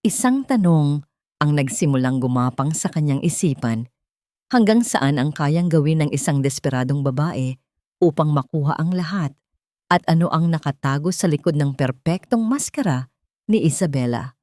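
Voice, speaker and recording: Lowish-voiced woman narrating dramatic stories. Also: lively intonation, moderate pace, mellow, no echo, clean studio sound. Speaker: storyteller.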